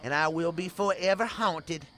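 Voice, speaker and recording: A man's voice: fairly high pitch, moderate pace, fairly bright tone, lightly acted. A man playing a humbled, apologetic cowboy with a rural American accent in a comic Western audio drama. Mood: remorseful, grave. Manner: folksy, apologetic. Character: humbled, remorseful cowboy with bandaged, blistered face.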